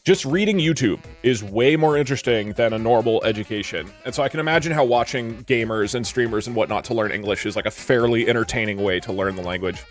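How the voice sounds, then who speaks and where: A man streaming from a green screen setup, chatting casually and rambling with lots of restarts while reacting to viewer comments. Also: mid-range voice. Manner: rambling, animated, frequent restarts and fillers. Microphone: desk mic at a green screen setup.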